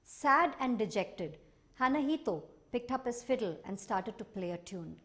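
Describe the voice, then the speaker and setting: A woman narrating a children's folk tale expressively, with dramatic, deliberate phrasing and emphatic repetition. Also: fairly high pitch, lively intonation, a bit bright. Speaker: storyteller.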